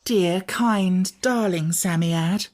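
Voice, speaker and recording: A man voicing an earnest, gentle young girl in a storybook, coaxing and pleading in a breathy way. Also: high voice, very slow, pitched above the performer's own voice.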